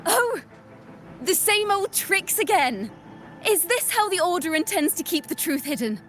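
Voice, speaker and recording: A woman's voice: very high-pitched, big pitch swings, a bit bright, slight background noise, exaggerated voice. A woman voicing a young female bard in a video game, defiant and playful, telling her tales in a theatrical, poetic manner. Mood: mock-innocent, defiant. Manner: theatrical, measured, poetic delivery. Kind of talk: dramatic storytelling in game voice acting. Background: music.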